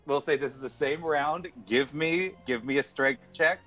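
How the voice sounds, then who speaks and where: A man's voice: fairly high pitch, moderate pace, fairly bright tone, muffled recording, faint music behind. A man narrating a tabletop game as game master, given to laughing as he goes.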